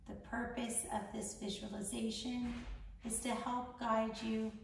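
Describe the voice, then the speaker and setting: A woman leading a guided meditation in a soothing, gentle, measured manner with long pauses. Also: on the high side, level tone, creaky. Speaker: meditation guide, founder of a yoga charity. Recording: echoey room, distant mic, faint noise.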